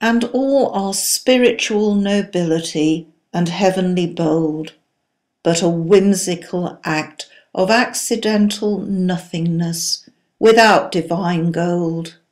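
A woman reciting poetry in a solemn, deliberate, dramatic and declamatory manner. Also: lowish voice, a little mellow. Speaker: poetry reader.

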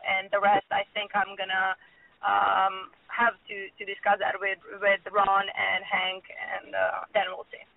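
Serbian-accented woman, slow and careful. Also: medium pitch, creaky. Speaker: actress, guest. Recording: tinny phone line.

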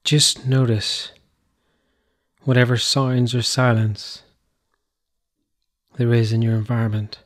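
A man narrating a guided meditation, giving gentle, unhurried instructions in short phrases with pauses between them. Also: medium pitch, level tone.

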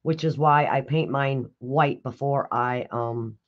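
A raspy, hoarse woman hosting a live craft tutorial, chatting with viewers and answering their comments while she works. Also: very deep voice, takes it slowly, highs cut off. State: hoarse after illness. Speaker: craft video host. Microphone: overhead camera on a craft table.

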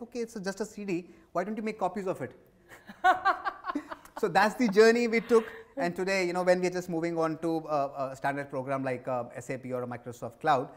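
A man in his forties with an Indian accent, answering interview questions conversationally as a business executive, with emphatic repetition. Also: fairly high pitch. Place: indoor office lounge set. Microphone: lapel mic.

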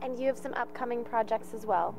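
High-voiced young woman reporter. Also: brisk, gravelly, over music. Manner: friendly, laughs along. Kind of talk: interview questions. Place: outdoors by a hedge of plants. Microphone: handheld mic.

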